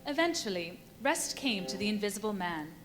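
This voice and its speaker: A woman's voice: medium pitch, sing-song, fairly quick, music in the background, natural voice. A woman acting as the storyteller in a live radio play, giving scripted dramatic narration. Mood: measured storytelling. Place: live performance at a brewery venue. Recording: live stage recording with faint noise.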